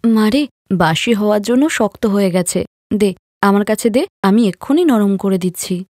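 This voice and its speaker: A woman's voice: medium pitch, expressive, normal speed, a little mellow, no room sound, studio quality, natural voice. A woman voicing an anxious, loving poor village wife and mother in a cartoon moral story. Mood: tender. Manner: plaintive, earnest.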